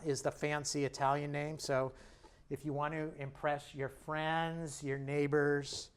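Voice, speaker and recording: A man teaching a painting lesson in a casual, joking way, talking as he works and steps back to look, with a slightly hoarse voice. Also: medium pitch, a bit bright. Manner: chatty, self-deprecating and jokey while working; talks while painting and stepping back from the canvas. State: slightly hoarse, clearing his throat. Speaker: painting instructor.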